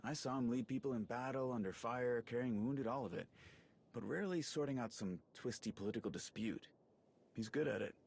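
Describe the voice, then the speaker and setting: A man's voice: mid-range voice, brisk, creaky, darkish tone, husky. A man acting a chatty, friendly male soldier and slicer in a video game cutscene, casual and wry with rambling asides. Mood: casual, fond.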